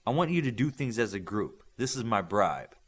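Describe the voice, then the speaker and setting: Brisk authoritative male coach, read by a male narrator, instructive, lively intonation, audiobook. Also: on the low side, husky. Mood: firm, persuasive.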